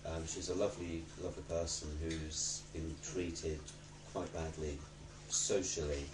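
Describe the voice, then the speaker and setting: A man speaking in a documentary interview, talking in a measured, halting way. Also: a bass voice, steady tone. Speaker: charity worker in an LGBT documentary interview. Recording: roomy echo, distant mic, clear background noise.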